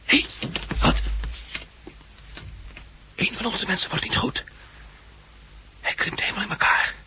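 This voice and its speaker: A man's voice: sits high, unhurried. An actor with a Netherlands Dutch accent playing a resolute, practical man who leads blind disaster survivors in a 1960s radio drama. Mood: urgent, alarmed. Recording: noisy, clicky 1960s radio recording.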